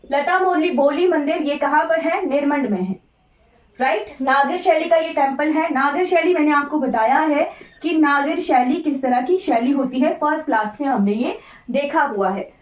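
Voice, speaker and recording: An echoey, distant recording of an Indian woman teaching a class. Her voice is high and slightly off. She lectures informally, repeating key points and often checking that students follow. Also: moderate pace, dull audio, slight background noise. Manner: repetitive, instructive, often checking with "right?". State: voice slightly off today. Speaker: teacher of an online exam-prep class. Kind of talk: informal lecture mixing Hindi with English terms. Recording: roomy echo, distant microphone.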